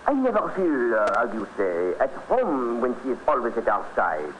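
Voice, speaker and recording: An actor playing a vain, cold, scheming count in a vintage radio drama. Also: male voice, mid-range voice, huge pitch swings, moderate pace. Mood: smooth, irritated. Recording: muffled, very noisy old radio recording.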